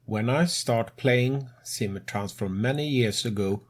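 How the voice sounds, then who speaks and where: Slow, breathy non-native man. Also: mid-range voice. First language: not English. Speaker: tutorial host. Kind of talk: game tutorial narration.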